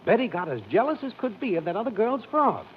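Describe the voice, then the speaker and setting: An American actor playing a wry, needling neighbour in a 1940s radio sitcom, folksy and pleased with himself. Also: male voice, high voice, big pitch swings, fast talker. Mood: droll. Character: wry, teasing, self-pleased male neighbour and pal. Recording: dull, noisy old radio recording.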